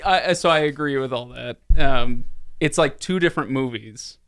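A man with a smooth, clear voice chats casually as a podcast co-host. He hesitates and corrects himself, recorded close with almost no room sound. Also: medium pitch, normal speed, a bit bright, no room sound. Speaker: podcast co-host discussing a film. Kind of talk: casual chat.

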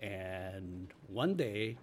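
A middle-aged man from Taiwan reminiscing casually in an interview, in a halting, self-correcting way. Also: low-pitched, lively intonation. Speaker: interview guest, architect. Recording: noticeable background noise.

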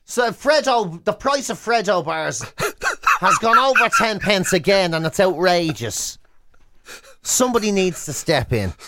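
A woman's voice: medium pitch, lively intonation, unhurried, noisy background. A woman with an Irish accent co-hosting casual comic radio banter, amused and incredulous in manner. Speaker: radio co-host.

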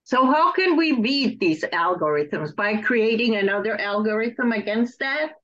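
A woman's voice: a little high, lively intonation, unhurried, a bit bright, muffled recording. A woman hosting a casual interview, asking questions and reacting in a conversational way.

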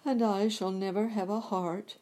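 A woman voicing a kindly man made of tin in a storybook reading, gentle and loyal in manner. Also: mid-range voice, fairly even intonation, a little slow, pitched above the performer's own voice, lightly acted.

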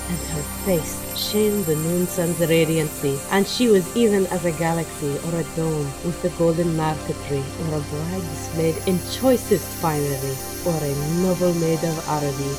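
Female narrator, slightly low voice, formal literary storytelling, music clearly underneath. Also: moderate pace, hardly any pauses, poor audio.

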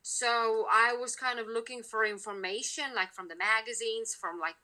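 A woman with a Lithuanian accent and a very bright, forceful voice, pitched a little high. She talks casually as a podcast guest, full of fillers and restarts. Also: steady tone, normal speed, rough recording. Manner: chatty, many fillers and restarts. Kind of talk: casual interview talk.

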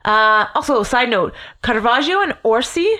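A woman's voice: on the high side, expressive, normal speed, bright, forceful tone. A woman, co-hosting a podcast and telling a story in a rambling, joking way with casual swearing. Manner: rambling, jokey, swears and laughs. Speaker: podcast co-host.

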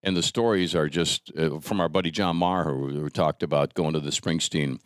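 A man's voice: extremely low pitch, steady tone, brisk, no echo, studio quality. A middle-aged American man hosting a casual chat, reminiscing in a rambling, conversational way. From rural United States. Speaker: podcast host.

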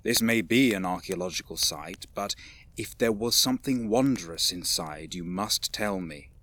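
A man playing a stern, cautious alien warrior in a science fiction audio drama, formal and deliberate in his speech. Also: deep voice, fairly bright tone. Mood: grave, probing.

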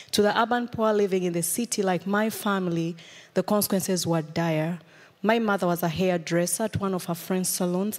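A woman in her thirties from Uganda, a guest speaker telling her life story to a church in an earnest, measured way. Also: lowish voice, a little mellow, big-room sound. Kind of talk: personal testimony. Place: church sanctuary.

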